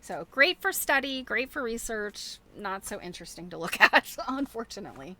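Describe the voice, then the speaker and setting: A woman's voice: mid-range voice, sing-song, normal speed, bright, forceful tone. A middle-aged woman chatting casually as she shows things to viewers, thinking aloud in a rambling, chuckly way. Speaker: host of a quilting show. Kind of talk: casual show-and-tell chat.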